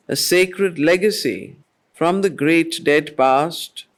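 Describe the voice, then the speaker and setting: Slow, measured woman teacher. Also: fairly low pitch. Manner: measured, deliberate, questioning the group. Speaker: study circle facilitator, teacher. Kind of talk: slow poetry reading with explanatory teaching.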